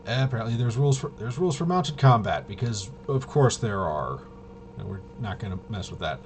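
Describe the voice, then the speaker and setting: A man casually rambling through tabletop game rules, haltingly, often trailing off and correcting himself. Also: lowish voice. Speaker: host. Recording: clear steady background noise.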